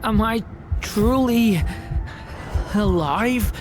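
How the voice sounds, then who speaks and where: Faint, very slow young boy, played by a woman. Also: fairly high pitch, over music. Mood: weak, dazed. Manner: halting, faint, pleading delivery. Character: weak, bewildered young boy lost in a void. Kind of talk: dramatic game voice acting.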